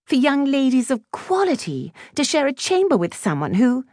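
Woman voicing a haughty high-pitched aristocratic schoolgirl. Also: wildly swooping pitch, moderate pace, darkish tone, no room sound, studio quality. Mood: coldly superior. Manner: prim, snobbish, cutting. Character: haughty, spiteful young lady of quality, a schoolgirl.

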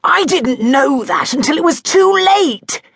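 A woman's voice: extremely high pitch, wildly swooping pitch, very slow, gravelly, dry recording, clean studio sound, pitched above the performer's own voice, heavily acted. A woman voicing a blustering, hot-tempered older male duke who bellows his lines in an audiobook. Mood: defensive. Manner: bellowing, shouting. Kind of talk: audiobook character voice.

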